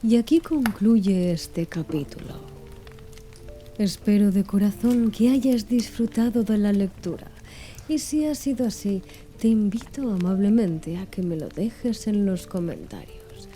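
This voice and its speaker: A woman narrating an ASMR-style audiobook in a soft, slow and measured reading. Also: medium pitch, animated. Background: faint background music.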